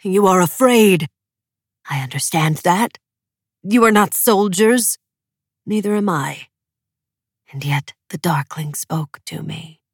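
A woman's voice: mid-range voice, slow pace, husky, dry recording, clean studio sound. A woman voicing a ruthless male preacher from a storybook, declaiming firm and commanding.

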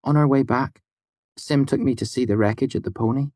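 A man voicing a playful, sharp-tongued young woman in an audiobook, with a huffy, theatrical, teasing manner. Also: medium pitch, fairly quick, no room sound, clean studio sound, pitched above the performer's own voice, close to an everyday voice.

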